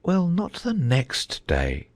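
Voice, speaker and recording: A man playing a gentle, dotty old knight, earnest and rambling, in a dramatized audiobook. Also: a little high, huge pitch swings, slow pace, heavily acted. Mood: slow, downcast. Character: gentle, dotty old male knight, solemn muddled inventor.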